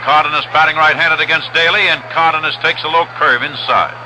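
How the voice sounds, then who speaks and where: A man with an American accent giving measured, descriptive live radio commentary as a baseball play-by-play announcer. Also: on the high side. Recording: noisy vintage radio broadcast. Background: steady stadium noise outdoors.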